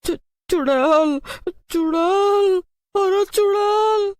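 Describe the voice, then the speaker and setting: A woman's voice: very high voice, drawn-out words, a little mellow, no room sound, clean studio sound, pitched above the performer's own voice, exaggerated voice. A woman voicing a bossy, scheming wife with a haughty, mean manner in a cartoon story. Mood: frightened. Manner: commanding, mocking.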